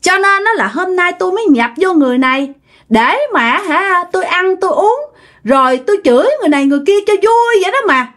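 A woman doing a shrill, taunting ghost girl. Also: lively intonation, fast, a bit bright. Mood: taunting giggly. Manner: high, giggly and taunting. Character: hungry, mischievous young female ghost.

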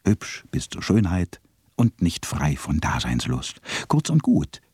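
A man's voice: low-pitched, wildly swooping pitch, takes it slowly, a little mellow, slightly rough, no echo. Dry, ironic male radio narrator.

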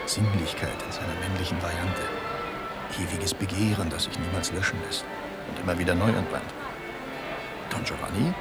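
An actor in a radio drama playing an earnest intellectual who reflects aloud with emphatic phrasing. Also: male voice, low voice. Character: earnest male intellectual musing on seduction and desire.

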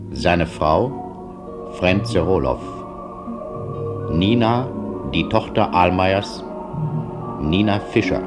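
Slow, deep-voiced male radio announcer. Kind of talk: formal scripted credits announcement. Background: loud music.

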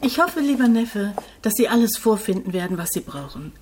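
A woman plays a modest provincial aunt in a radio drama. Her voice is soft-toned and dark, formally polite, over faint hiss. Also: mid-range voice, lively intonation, fairly quick, close to an everyday voice. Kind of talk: German radio drama acting.

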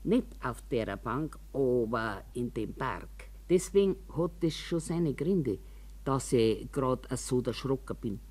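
Slow, grumbling old Bavarian woman. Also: a bass voice, lively intonation, a little mellow, exaggerated voice. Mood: wary, aggrieved. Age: older. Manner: rambling, complaining, confiding, with emphatic asides. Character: grumbling, wary old woman living in a care home. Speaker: actor in a radio monologue. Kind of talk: radio drama monologue in colloquial speech.

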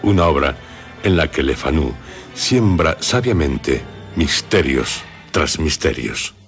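A man with a Castilian Spanish accent presenting a radio drama from a script, measured, with dramatic pauses. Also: extremely low pitch, expressive. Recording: loud music over the voice. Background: loud music.

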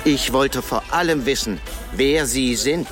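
A man's voice: mid-range voice, a little slow, over music, lightly acted. A man acting as a grieving middle-aged father in a radio drama, formal and pointed, bitter and determined. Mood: tense, insistent.

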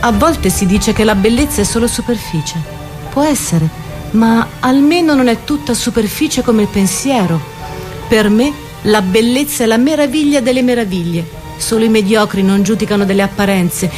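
A woman as Lord Henry, persuasive aesthete over loud music. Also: fairly high pitch, lightly acted. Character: Lord Henry, worldly aesthete urging youth and pleasure. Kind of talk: literary dramatic reading.

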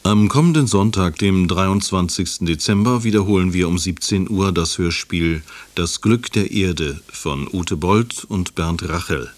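Male announcer, low voice, scripted radio preview, formal, measured.

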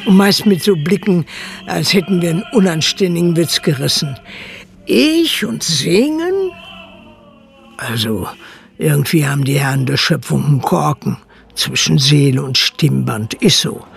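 An actor narrating a radio drama as a wry, dry village chronicler, with a gravelly voice. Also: male voice, a little high, expressive, a little slow, soft background music.

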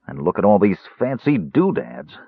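A man voicing a big, brawny warrior in a fantasy story, grumbling uneasily in a halting, slow manner. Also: lowish voice, very animated, creaky, breathy edge, dry recording, narrow, tinny audio, full character voice. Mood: uneasy, suspicious.

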